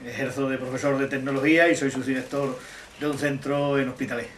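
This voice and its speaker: Halting mature man, roomy distant recording. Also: mid-range voice, steady tone, a bit bright. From Extremadura, Spain. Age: middle-aged or older. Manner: deliberate, halting, rephrasing as they go. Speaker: interviewee, technology teacher and amateur poet. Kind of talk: informal interview answers. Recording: roomy, distant mic, faint noise.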